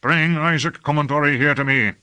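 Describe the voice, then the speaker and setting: A man's voice: a little high, moderate pace, clean tone, pitched above the performer's own voice. A man voicing an imperious, hot-tempered lord in an audiobook, harsh and urgent as he gives commands. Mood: angry, demanding. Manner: urgent, peremptory, shouting commands.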